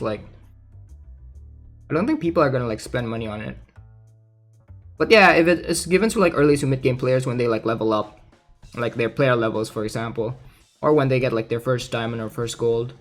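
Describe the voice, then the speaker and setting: A man streaming casually about a game, reading aloud and thinking out loud in a hesitant way with plenty of filler words. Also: medium pitch. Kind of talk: casual livestream commentary, reading aloud and reacting.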